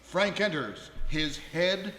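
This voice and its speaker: A man's voice: high voice, lively intonation, slow, sharp tone. Theatrical man narrating in a reverberant hall. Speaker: radio host and narrator. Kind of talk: live radio play narration and scripted address. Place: large ballroom, live stage. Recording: echoey ballroom with long reverb.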